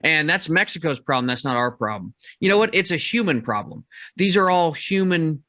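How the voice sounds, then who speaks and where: A man's voice: fairly high pitch, big pitch swings, brisk, pushed and bright, no room sound. A man hosting a podcast, talking frankly in casual conversation and reading a scripted outro. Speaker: podcast host.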